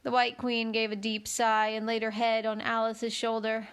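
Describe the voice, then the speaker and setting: A woman's voice: a little high, completely flat delivery, moderate pace, clear, resonant tone. A woman narrating a children's storybook expressively as host of a read-along.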